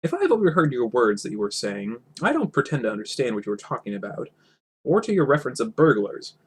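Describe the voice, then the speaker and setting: A man voicing a small, prim gentleman hobbit from a storybook in a huffy, dignified manner. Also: medium pitch, sing-song, fast talker, slightly rough. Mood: indignant, dignified.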